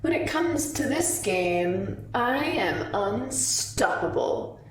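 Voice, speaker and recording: A woman's voice: fairly high pitch, expressive, slow. Pompous, cocky male card shark performed by a woman for fantasy storytelling, filtered, echoing, somewhat distant. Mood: smug gloating. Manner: smug, pompous. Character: cocky, gloating male tavern card player. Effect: voice filter with echo. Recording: echoey, distant, faintly noisy.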